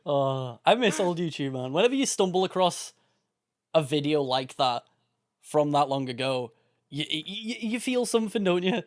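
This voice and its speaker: A man co-hosting a podcast, relaxed and easygoing, often chuckling as he drifts into nostalgic tangents. His voice is moderately raised in pitch and sounds dry and close to the mic. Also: normal speed, dry recording. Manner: laid-back, chuckling, nostalgic rambling. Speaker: podcast co-host. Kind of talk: casual podcast chat.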